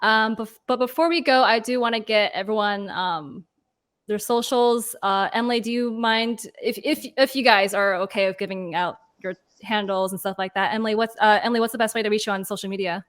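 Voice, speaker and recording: A woman's voice: mid-range voice, brisk, fairly bright tone. A woman hosting a panel in a friendly, informal manner. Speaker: panel moderator. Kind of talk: casual hosting.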